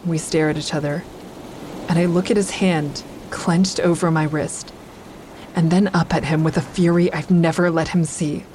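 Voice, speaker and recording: An actress playing a wary, anxious woman who narrates an audio drama in the first person, measured and confiding. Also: deep voice, steady tone, warm tone, slight background noise, natural voice, poor audio. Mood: uneasy, confiding.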